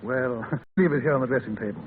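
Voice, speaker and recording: Guy acting smooth rich gentleman suitor, courtly, medium pitch, tinny 1930s radio drama. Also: fairly quick, lightly acted. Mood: courtly, wooing. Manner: polished, courtly, formal. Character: wealthy, suave gentleman suitor, courteous and self-assured. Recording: tinny, faintly noisy 1930s radio broadcast.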